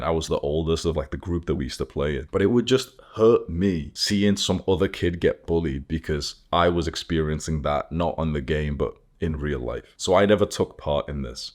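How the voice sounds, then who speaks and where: A man in his twenties with a deliberately deepened, just-woken voice, telling personal stories casually and informally, as a YouTuber does. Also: darkish tone. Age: 20s. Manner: informal, sweary, heavy use of "like". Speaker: YouTuber host. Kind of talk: casual personal storytelling to camera.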